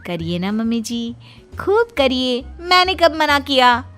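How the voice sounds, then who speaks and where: A woman plays a cheeky young bride in a cartoon family story. Her highish voice swings in pitch, mixing mock sweetness with sharp-tongued sass. Music plays softly underneath. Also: big pitch swings, a little slow, heavily acted. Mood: defiant. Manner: sugary politeness with sassy bite. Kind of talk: Hindi cartoon story voice acting.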